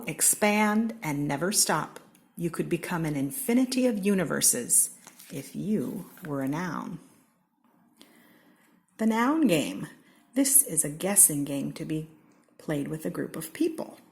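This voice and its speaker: American woman, storybook reader, lively pitch, gravelly edge. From Seattle, Washington. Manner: slow, expressive read-aloud with lively pitch swings. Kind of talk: reading a children's picture book aloud.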